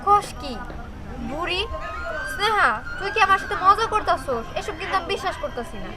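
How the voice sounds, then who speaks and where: A woman's voice: very high-pitched, animated, moderate pace, a crowd in the background. A woman voicing a poor, earnest young girl who pleads for her hungry brother in a Bengali cartoon. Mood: doubtful.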